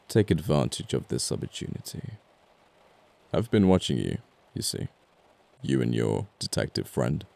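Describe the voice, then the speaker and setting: An extremely low, dark-toned male murderer played by a man in an audio roleplay. He is amoral and flirtatious, menacing his listener with sardonic politeness. His delivery is slow to rise and deliberate, with a gravelly edge. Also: dry recording, heavily acted. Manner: smooth-talking, sardonic, deliberate threats with pauses. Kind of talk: ASMR audio roleplay acting.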